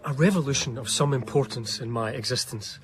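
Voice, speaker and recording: Radio drama: male actor as eager young gentleman diarist of the 1700s, mid-pitched, formal and literary, confiding in the listener. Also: brisk, close to an everyday voice. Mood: earnest. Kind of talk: radio drama narration and dialogue.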